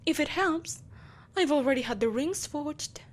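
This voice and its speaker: A woman playing a vengeful, possessive empress in an audio roleplay, speaking in a haughty, theatrical and deliberately menacing way. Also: high voice, lively intonation. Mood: smug, coercive. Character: vengeful, possessive evil empress, soulless, imperious, coaxing a captive. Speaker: voice actor. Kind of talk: scripted audio roleplay acting.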